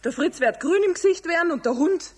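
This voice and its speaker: A woman playing a strict, exasperated Bavarian mother in a children's radio play, scolding emphatically in a husky voice. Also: extremely high pitch, lively intonation, a little fast.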